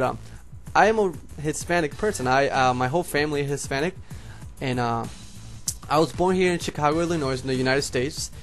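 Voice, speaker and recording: A young man from Puerto Rico whose first language is Spanish, speaking with a Puerto Rican accent and a slight lisp, rambling casually to his viewers as homies. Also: a little high. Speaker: YouTuber. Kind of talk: casual vlog monologue.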